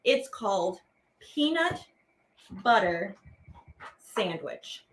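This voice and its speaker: A woman teaching artist hosting a program, reading children's poems aloud in a playful, theatrical way with drawn-out words. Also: mid-range voice, big pitch swings, a little hiss or hum, low-quality recording.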